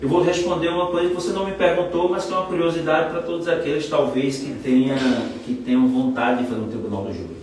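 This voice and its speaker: A man's voice: fairly high pitch, fast talker, few pauses, darkish tone, slight background noise. A man in his forties, a trial lawyer and mentor, explaining in an expansive, self-assured way. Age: 40s. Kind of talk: casual interview chat. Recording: echoey room, mic at a distance.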